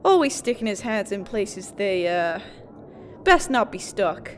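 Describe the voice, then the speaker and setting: A woman playing a kind, gentle, sisterly young receptionist in a fantasy audio drama. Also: mid-range voice, big pitch swings, unhurried, long reverb tail, a little hiss or hum. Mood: warm, caring.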